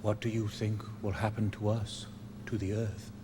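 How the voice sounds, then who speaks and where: Sober gentleman, male actor: fairly low voice, radio drama style, clear background noise. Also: little pitch movement, normal speed, lightly acted. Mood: worried. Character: sober, astonished gentleman listener. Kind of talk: old time radio drama acting.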